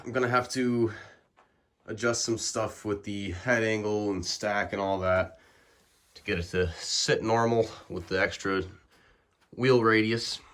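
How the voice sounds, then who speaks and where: A young man with a low-pitched voice, sniffly from the flu. He talks in a relaxed, explanatory way and fills his pauses with ums. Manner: relaxed, filler-heavy, explanatory. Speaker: bike vlogger. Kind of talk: casual bike check walkthrough.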